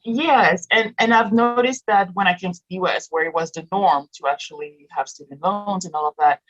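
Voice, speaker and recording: A woman's voice: lowish voice, normal speed, dull audio. A woman in her thirties, raised in Morocco with French as her first language, chatting as a guest, laughing often and restarting sentences. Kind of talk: casual interview chat.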